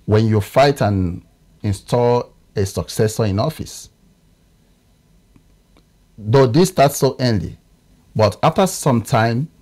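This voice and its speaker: A man in his thirties with a Nigerian English accent, a political analyst talking in a TV studio, often pausing to search for words. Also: medium pitch, darkish tone. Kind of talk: studio discussion.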